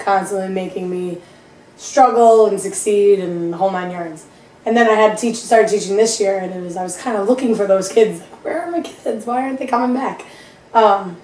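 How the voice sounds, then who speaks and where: Young woman, early twenties, math teacher guest, rambling and self-deprecating, mellow tone, roomy echo, a bit off mic. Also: medium pitch, distant mic. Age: 20s. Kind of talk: casual chat.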